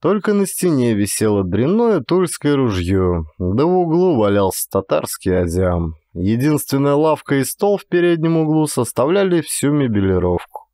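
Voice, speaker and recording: A man reading a literary story as an expressive audiobook narration. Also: medium pitch, normal speed, hardly any pauses, dry recording, studio quality.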